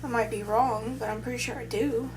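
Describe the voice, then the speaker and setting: A woman's voice: fairly high pitch, fairly even intonation, brisk, background noise. A young adult woman vlogger in casual chat, talking in a hesitant, rambling way with many restarts.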